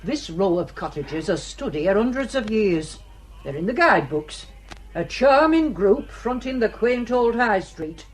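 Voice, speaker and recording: A woman's voice: mid-range voice, very animated, unhurried, husky, faint music behind. A grasping old Northern English woman of property in a radio drama. She scolds and sneers with haughty bluntness, as if everyone were out to cheat her. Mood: scornful, grasping. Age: older. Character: grasping, spiteful old female mill owner and landlady.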